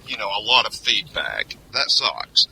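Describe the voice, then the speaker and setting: A man chats on a podcast panel, stopping often on "uh" and tossing in crude jokes. Clear background noise sits under his voice. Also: fairly low pitch, sing-song, pushed and bright, low-quality recording. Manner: hesitant, many "uh" pauses, crude joking. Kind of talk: casual panel chat.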